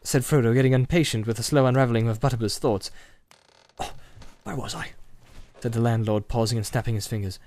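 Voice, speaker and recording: A man's voice: medium pitch, steady tone, quick pace, hardly any pauses, darkish tone, a little hiss or hum. A man reading scripted story narration as an audiobook narrator. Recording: music behind the voice.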